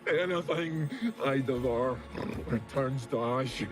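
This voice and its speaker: An actor playing a savage, tormented cursed werewolf in a video game, growling in halting, broken phrases with groans. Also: male voice, mid-range voice, music in the background, natural voice. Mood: anguished. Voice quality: gravelly, growling. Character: cursed male werewolf, savage, boastful, tormented by endless hunger. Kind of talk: dramatic video game character acting.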